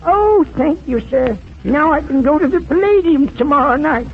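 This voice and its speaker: High-pitched, chirpy nightclub server. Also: lively intonation, moderate pace, vocal fry. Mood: cheerful. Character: polite table server at a nightclub. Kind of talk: 1940s radio comedy acting. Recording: muffled, noisy old radio with audience.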